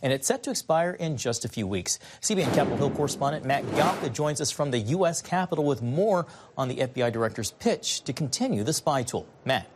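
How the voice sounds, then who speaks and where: News anchor with background noise. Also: male voice, mid-range voice, expressive, brisk.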